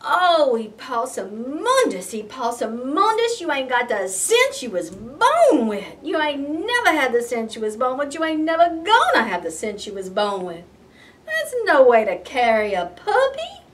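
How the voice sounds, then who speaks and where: A woman's voice: high-pitched, wildly swooping pitch, measured pace, distant mic, heavily acted. A woman voicing an exasperated, scolding possum mama in a folksy, emphatic storybook style.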